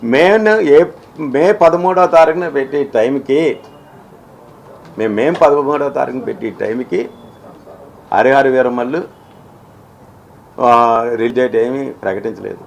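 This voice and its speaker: A man speaks off the cuff at a middle pitch, as if addressing the press. He argues his case emphatically, often halting and repeating phrases as he goes. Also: vocal fry, slight background noise. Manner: halting, repetitive, emphatic, argumentative. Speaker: film exhibitor speaking on industry dispute. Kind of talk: spoken statement to the press, unscripted.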